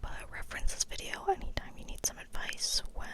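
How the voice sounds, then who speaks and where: A woman whispering a chatty, tongue-in-cheek ASMR monologue full of internet slang, sometimes repeating phrases slowly. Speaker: ASMR video creator.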